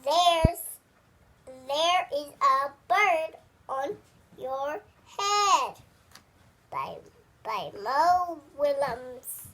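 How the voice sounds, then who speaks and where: Child storybook reader, high and lively, halting word-by-word delivery. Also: a little hiss or hum. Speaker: read-aloud reader. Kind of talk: picture book read-aloud with acted dialogue.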